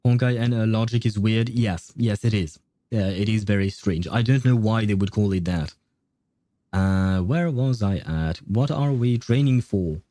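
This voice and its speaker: A man's voice: medium pitch, mellow, no room sound. A man hosting a livestream, chatting casually in a rambling way and often losing his train of thought. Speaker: livestream host. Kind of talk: casual game stream chat.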